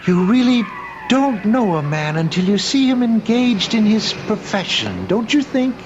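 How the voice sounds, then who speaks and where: An actor playing a courteous, gently insistent old man's ghost, a lonely shopkeeper, in an old-time radio drama. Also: sits high, sing-song, measured pace. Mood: calm, uncanny. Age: older. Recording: noisy, muffled old radio drama recording.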